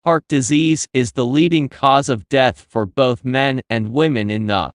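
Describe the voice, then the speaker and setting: A man reading exam practice passages aloud in a measured, formal way. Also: medium pitch, dry recording, clean studio sound. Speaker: test-prep model reader.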